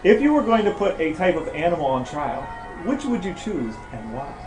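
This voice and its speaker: A man's voice: a little high, very animated, moderate pace. An American man co-hosting a podcast, chatting casually and asking playful questions in a dryly mock-serious way. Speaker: podcast co-host, interviewer. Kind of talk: casual chat and playful interviewing. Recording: echoey room, faint music.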